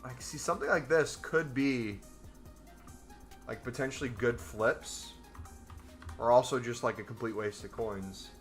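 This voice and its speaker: A man's voice: mid-range voice, unhurried, pauses often, soft background music. A young man in casual live-stream commentary, laid-back and talking to his chat from a small room. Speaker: trading streamer. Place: small room indoors, gaming chair.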